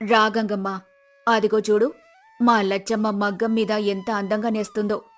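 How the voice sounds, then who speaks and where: A woman voicing a shrewd, calm older village mother-in-law who speaks coaxingly in a moral story. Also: medium pitch, normal speed, faint music behind, pitched below the performer's own voice, natural voice. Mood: coaxing admiration.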